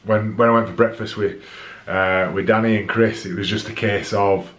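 A man's voice: on the low side, steady tone, moderate pace, a bit bright. A man, vlogging casually to a camera at arm's length, slightly nasal from a head cold, rambling with fillers. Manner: chatty, rambling with fillers and restarts. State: stuffy nose from a head cold. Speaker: vlogger, dad. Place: outdoors on a country road, then a home office. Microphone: camera held at arm's length.

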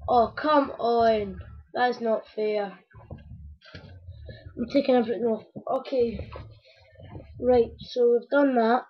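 A woman with a croaky voice, rambling casually to her viewers as she builds a toy step by step. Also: a little high, fairly even intonation, takes it slowly, long pauses. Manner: rambling, talks to viewers while working with hands. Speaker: video maker building a toy. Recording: dull lo-fi home recording.

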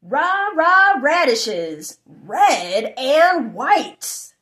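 A young adult woman reading aloud for children in an exuberant, sing-song chanting way with drawn-out words. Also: high voice, big pitch swings, fairly bright tone. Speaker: host of a children's read-aloud channel. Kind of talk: animated children's picture-book read-aloud. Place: small furnished room indoors.

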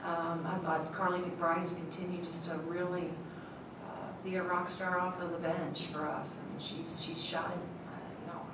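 A middle-aged woman speaks as a basketball coach at a press table, in a slightly low voice. She talks in a measured way, with frequent ums and restarts. The sound is very echoey and noisy, from a mic set some way off. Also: little pitch movement, recorded from a distance. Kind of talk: postgame press conference. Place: press room, seated at a table. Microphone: table mic, at a distance.

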